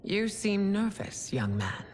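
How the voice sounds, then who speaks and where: A woman's voice: medium pitch, big pitch swings, slow pace, a little mellow. A woman playing a composed, imperious empress in a video game, speaking in a formal, regal, condescending manner. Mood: stern, regal. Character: imperious, composed empress presiding over a tournament. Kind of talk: dramatic video game acting. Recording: orchestral score under the voice.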